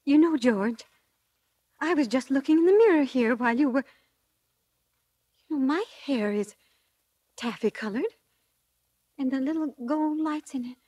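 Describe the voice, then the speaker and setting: An actress playing a coy, eager woman angling for a proposal, breathy and deliberate, in a 1940s radio drama. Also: high-pitched, warm tone, no room sound. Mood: coy, pleased.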